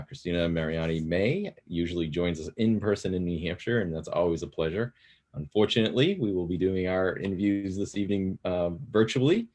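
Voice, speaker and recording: A man hosting a livestreamed virtual wine event, relaxed and informal in his delivery. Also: deep voice. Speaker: host. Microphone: video call.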